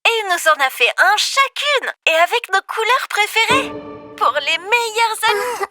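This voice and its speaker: Young female cartoon pup, high pitch, lively swings, cheerful, bubbly, friendly. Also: moderate pace, dry recording, soft background music, full character voice. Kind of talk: French-dubbed children's cartoon acting.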